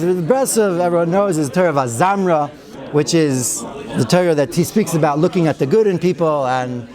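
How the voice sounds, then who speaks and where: A man teaching a weekly Torah class in a synagogue study hall, chatty and digressive, with wry asides and many Hebrew and Yiddish terms. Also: on the high side. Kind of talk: informal Torah lecture.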